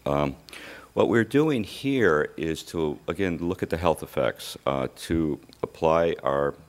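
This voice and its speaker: An older man giving a conference presentation at a lectern microphone, his voice lowered from illness, speaking haltingly with many uhs. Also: lively intonation. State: voice lower than normal from illness. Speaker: research presenter.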